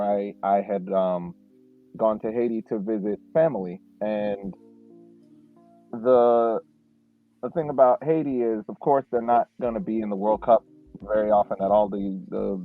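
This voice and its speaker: Male podcast co-host, low-pitched, reminiscing with halting fillers; tinny phone-quality sound. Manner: reflective storyteller, frequent fillers and restarts. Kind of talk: casual podcast chat.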